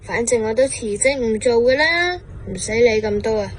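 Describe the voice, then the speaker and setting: A woman's voice: a little high, lively intonation, normal speed, very noisy, close to an everyday voice. A woman with a Cantonese accent voicing a resentful young office worker. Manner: grumbling, indignant.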